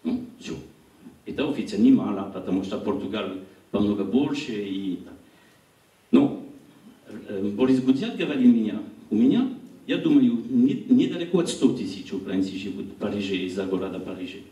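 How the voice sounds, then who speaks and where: A middle-aged man with a French accent gives a public talk in a language not his own, stopping often to find the next word. His voice is mellow and dark, held in a handheld mic. The sound is roomy and comes from across a small gallery hall. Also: lowish voice, animated, recorded from a distance, highs cut off. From France. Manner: halting, searching for words. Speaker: lecturer. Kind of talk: public lecture. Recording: roomy hall recorded from afar.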